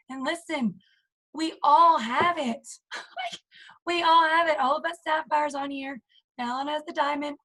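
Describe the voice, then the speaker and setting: A woman with a hoarse, failing voice, chatting animatedly on a group call and acting out little quotes. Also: sits high, low-quality recording. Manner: animated, excitable, acts out quotes. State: hoarse, losing her voice. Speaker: team leader in direct sales. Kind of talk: casual group call discussion.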